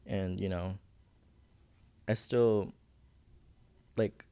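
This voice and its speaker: Slow, gravelly young man. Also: deep voice, steady tone. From Philippines. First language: Visayan. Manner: soft-spoken, halting, searching for words, laughs nervously. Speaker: podcast guest. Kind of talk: personal story in casual interview.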